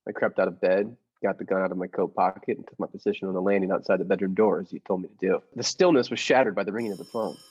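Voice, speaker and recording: A man's voice: low-pitched, fairly even intonation, fast talker, hardly any pauses, darkish tone, breathy edge. A man playing a meek, nervous henpecked husband who narrates his scheme in a radio play, hesitant, earnest and formal. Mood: tense recounting. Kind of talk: improvised-cast classic radio play narration.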